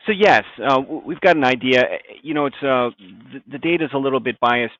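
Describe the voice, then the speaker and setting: A man's voice: medium pitch, brisk, fairly bright tone, no room sound. A middle-aged man, a doctor appearing as a guest expert, explaining things informally over a tinny connection. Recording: tinny phone-like band-limited line.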